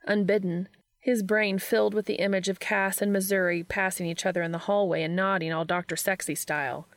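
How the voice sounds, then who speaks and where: A woman's voice: mid-range voice, fairly even intonation, a little fast, no echo. A woman reading a story aloud as a scripted audiobook narration. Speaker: fan fiction reader.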